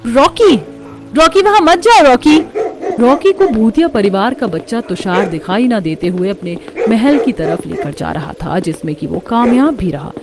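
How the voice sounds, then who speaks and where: A woman's voice: medium pitch, very animated, moderate pace, few pauses, music in the background. A woman reading a scripted horror story as its narrator.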